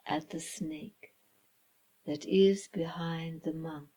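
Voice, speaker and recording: A woman reading a children's story as its author, in a dramatic storybook style with hushed, breathy phrases and deliberate pauses. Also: lowish voice. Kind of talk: slow dramatic storybook narration.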